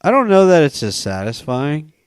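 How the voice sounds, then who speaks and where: A man's voice: fairly high pitch, big pitch swings, normal speed, smooth, no echo, clean studio sound. A man co-hosting a film podcast, giving his opinions in a wry, conversational way with casual swearing. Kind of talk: casual film review discussion.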